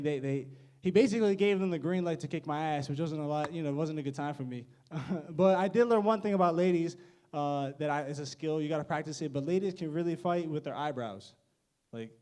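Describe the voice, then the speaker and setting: A man's voice: a little high, a little mellow. A young man doing a stand-up set, awkward and self-mocking, laughing at his own jokes. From United States. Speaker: stand-up comedian.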